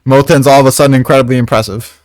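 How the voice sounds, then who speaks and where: A man's voice: medium pitch, fairly even intonation, very fast, no room sound. A man co-commentating in a casual manga discussion, with a blunt, sardonic and profane manner.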